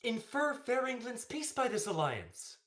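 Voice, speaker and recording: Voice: mid-range voice, wildly swooping pitch, measured pace, fairly bright tone. A young actor playing a scheming, proud and persuasive usurper king in a Shakespearean radio play, in emphatic formal verse. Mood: insistent. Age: young adult. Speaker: student actor.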